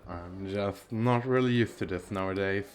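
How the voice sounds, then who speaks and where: A man playing a gentle, awkward single dad who flirts shyly and hesitantly in an ASMR roleplay. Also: low-pitched, normal speed, natural voice. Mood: shy, amused. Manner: hesitant, self-deprecating, soft chuckles.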